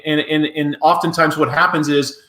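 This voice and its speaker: A man's voice: a little high, moderate pace. Upbeat podcast host man, echoey room. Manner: upbeat, energetic interviewer. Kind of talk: podcast interview.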